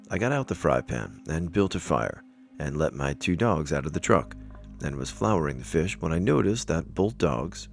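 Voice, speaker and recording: A man's voice: a bass voice, vocal fry. A man narrating first-person scary stories from a script as a storyteller. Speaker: narrator reading online stories aloud.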